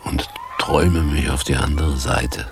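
An actor playing a brooding, theatrical homeless former stage actor in a radio play, speaking solemnly and deliberately. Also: male voice, very deep voice, steady tone. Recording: 1980s radio drama with faint noise.

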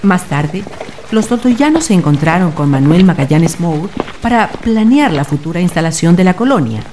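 A woman's voice: fairly low pitch, animated, normal speed, noisy background. A woman reading scripted narration for a radio drama.

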